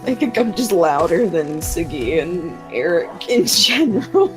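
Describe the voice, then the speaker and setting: A woman streamer chatting casually with co-streamers, quick and animated, with lots of fillers. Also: on the low side, over music.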